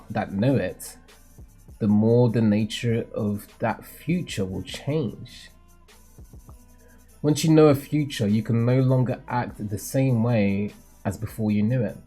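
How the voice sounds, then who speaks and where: A man voicing a solemn, cryptic mentor who sees the future, in a theatrical, measured, formal manga read-aloud. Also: medium pitch, mellow, husky, faint music behind. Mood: grave, cryptic.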